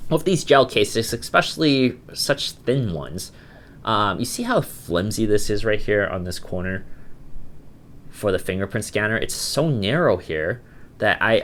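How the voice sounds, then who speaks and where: A man with a slightly low voice and a cold hosts his own gadget review channel. He talks casually and chattily, circling back with fillers and restarts as he walks through a product. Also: a bit bright, slight background noise. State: has a cold, voice sounds a bit off. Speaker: tech reviewer, channel host.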